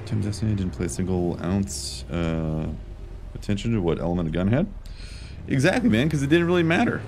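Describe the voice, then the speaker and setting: A man doing casual live gameplay commentary, chatting with viewers in a slangy, animated way as he plays. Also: on the low side, brisk, slight background noise. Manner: animated, reacts out loud while playing. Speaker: game streamer.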